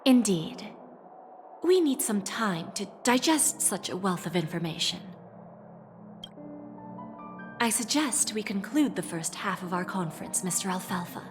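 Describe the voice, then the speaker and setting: An actress playing a calmly calculating corporate negotiator in a video game, measured, courteous and composed. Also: female voice, mid-range voice, music in the background, natural voice. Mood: composed, formal.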